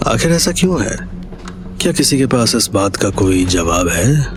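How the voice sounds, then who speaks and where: A man's voice: lowish voice, very animated, unhurried, music in the background. A man playing a shrewd, commanding senior business partner in an audio drama, assertive and sardonic as he lectures. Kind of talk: Hindi audio drama acting.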